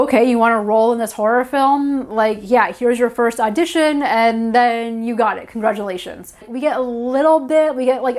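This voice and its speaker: A woman in her early thirties hosting a casual film review, talking in a chatty, animated way with lots of "like.". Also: on the high side. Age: early 30s. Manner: chatty, animated, says "like" often. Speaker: horror film reviewer, channel host.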